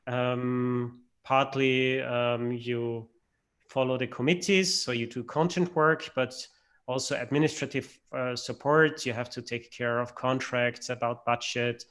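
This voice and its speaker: Man from austria, slow and hesitant, smooth clear voice, video call. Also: medium pitch, steady tone, a bit bright. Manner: hesitant, many fillers. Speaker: assistant to a member of the European Parliament. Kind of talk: online panel discussion.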